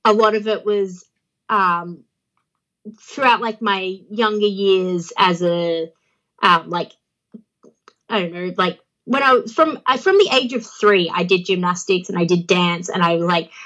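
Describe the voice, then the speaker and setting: A woman's voice: medium pitch, a little slow, a bit bright, poor audio. A young Australian woman chatting as an interview guest, with a phlegmy throat, laughing often and using many fillers. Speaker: guest, pro wrestler. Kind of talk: casual interview chat.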